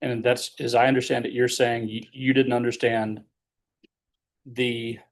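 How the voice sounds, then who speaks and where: A man working as a defense attorney, questioning his client in court in a measured, explanatory way with leading questions. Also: medium pitch, level tone.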